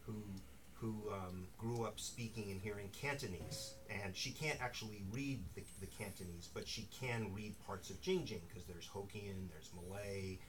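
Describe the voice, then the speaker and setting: A man's voice: fairly low pitch, normal speed. A man, an author at a bookstore event, speaking thoughtfully in a rambling way with many restarts and asides. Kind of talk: live talk with audience Q&A. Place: bookstore reading room. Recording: distant mic in a noisy room.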